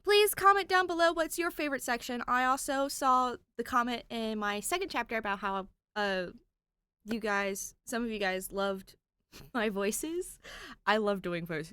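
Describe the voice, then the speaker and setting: A woman's voice: fairly high pitch, measured pace, sharp tone, smooth, dry recording. A woman chatting casually to her listeners as a podfic creator, enthusiastic and rambling.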